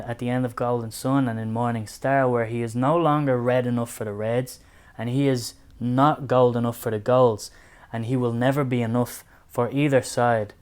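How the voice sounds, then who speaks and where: An Irish man talking through a book series for his channel, in a voice of middling pitch. He speaks in an earnest, thoughtful way and weaves his own life into what he says. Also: a little mellow. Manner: earnest, reflective, personal. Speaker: book reviewer. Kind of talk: casual book review to camera.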